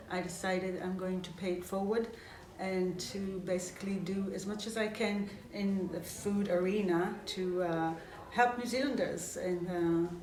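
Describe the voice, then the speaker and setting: A woman, speaking in a relaxed, thoughtful way and often pausing with hesitations as she describes her food rescue work. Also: lowish voice. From Israel. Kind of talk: documentary interview. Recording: roomy, distant mic with music.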